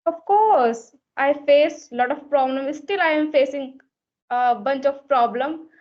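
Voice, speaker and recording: A woman's voice: high-pitched, unhurried, a little mellow. A woman from Uttar Pradesh with a North Indian accent, a native Hindi speaker, chatting in learner English and often repeating herself. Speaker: English learner and conversation partner. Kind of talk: casual video-call conversation. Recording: muffled lo-fi call audio.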